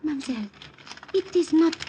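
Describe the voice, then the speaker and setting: High-voiced, excitable French maid. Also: female voice, a little fast, a little hiss or hum. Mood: flustered, excited. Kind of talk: 1940s radio drama acting.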